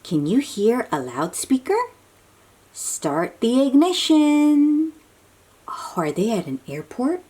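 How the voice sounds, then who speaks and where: A woman reading a picture book aloud to young children in an animated, breathy, questioning way, drawing out her words. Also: a little high. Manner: animated, drawn-out, questioning delivery aimed at little children. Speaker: children's read-aloud host.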